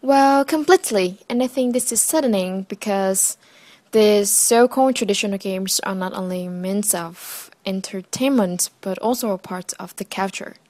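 A woman's voice: medium pitch, moderate pace, darkish tone, dry recording. An eighteen-year-old woman student speaking earnestly and with light emphasis in a scripted interview. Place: indoors, in front of a colorful wall.

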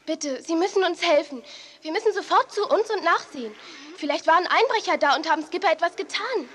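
A woman playing an anxious, excitable teenage girl in a children's radio play, urgent and earnest. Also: extremely high pitch, brisk. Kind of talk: 1980s German children's radio play acting. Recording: noisy old cassette radio play.

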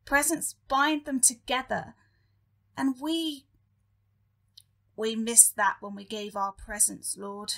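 A woman playing a meek, earnest demon underling in a comic audio drama, speaking in a hesitant, halting way. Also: fairly high pitch, level tone, vocal fry, pushed and bright, heavily acted.

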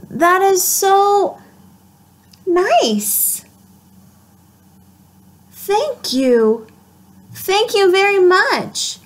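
A dramatic storybook pigeon that wails and whines over everything it never gets, as a woman reading aloud puts it on. The voice sits high and swoops wildly up and down. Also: exaggerated voice. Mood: pleading. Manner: whining, wailing complaints. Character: whiny, dramatic pigeon who always asks.

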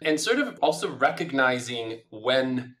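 A man's voice: medium pitch, big pitch swings, measured pace, fairly bright tone. A middle-aged man from Italy, a native Italian speaker, giving long, thoughtful interview answers full of fillers. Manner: thoughtful, discursive, many fillers. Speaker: podcast guest.

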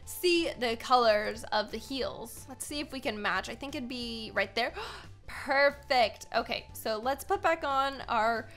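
A young American woman giving casual gaming commentary as host, chatty and expressive, given to exclaiming. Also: fairly high pitch, unhurried, soft background music. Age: young adult.

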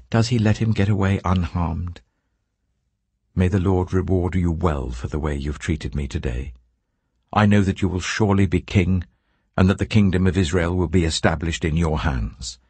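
An older man voicing a repentant king, pleading and remorseful, in a very low, gravelly voice. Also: darkish tone, no echo. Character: weeping repentant old king.

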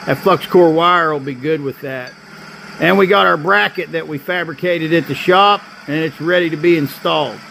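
A man's voice: a little high, normal speed, vocal fry, sharp tone, a little hiss or hum, rough recording. A man in his fifties, a welder hosting his channel, explaining his work in casual, practical how-to talk with plenty of filler. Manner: plainspoken, practical, with frequent filler. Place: welding shop and outdoor work site.

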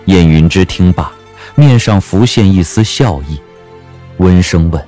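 A man narrating a scripted audio drama as an expressive storyteller. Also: deep voice, measured pace, soft background music.

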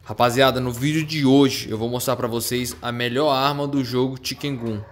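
A young adult man with a Brazilian Portuguese accent giving excited, slang-filled commentary while playing a mobile shooter. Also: medium pitch, moderate pace, slight background noise. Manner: excited, slangy, addresses viewers as a crowd of friends. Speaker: mobile gaming YouTuber. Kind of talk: casual gameplay commentary. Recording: loud game sound effects behind.

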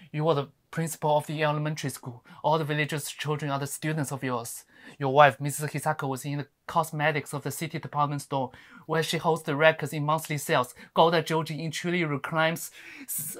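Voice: medium pitch, fairly even intonation, brisk, close to an everyday voice. An actor playing a sardonic, fiery lawyer in a theatrical monologue, scolding with scathing rhetorical questions. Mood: stirring appeal. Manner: scathing, rhetorical, swinging from hushed to ranting. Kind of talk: theatrical dramatic monologue performance.

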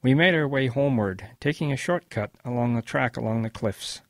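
A man's voice: medium pitch, fairly quick, no room sound, clean studio sound, lightly acted. Man playing a patient old grandfather storyteller. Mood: wistful storytelling. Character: patient old English grandfather recalling his wartime boyhood. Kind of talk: radio drama storytelling.

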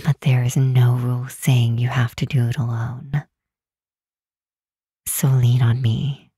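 A woman playing a regal, protective demon queen in a comforting ASMR roleplay, speaking softly and soothingly in a deliberate, hushed way. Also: a bass voice, flat delivery, no echo, studio quality, heavily acted. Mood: encouraging, firm. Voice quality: soft, breathy, hushed.

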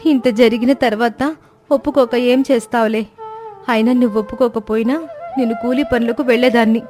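A woman's voice: a little high, fairly even intonation, fairly quick, warm tone, lightly acted. A woman voicing an outspoken, aggrieved sparrow wife in a cartoon moral story, speaking in a plaintive, reproachful way. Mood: reproachful, hurt. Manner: plaintive, reproachful, long emotional speeches. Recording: music bed behind voice.

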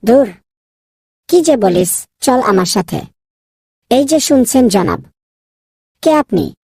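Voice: mid-range voice, expressive, slow pace, darkish tone, husky, no room sound, studio quality. A performer voicing a kind, bold young boy in a children's cartoon, insistent and polite to elders. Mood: curious, bold. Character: kind, bold young boy Nilu, bossy with his friend. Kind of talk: children's moral cartoon dubbing.

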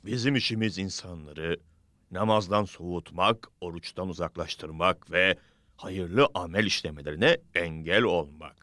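An actor playing a smug, wicked devil henchman in a religious cartoon, with a deliberate, gloating delivery. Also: deep voice, a bit bright, no room sound, clean studio sound. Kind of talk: dubbed religious cartoon acting.

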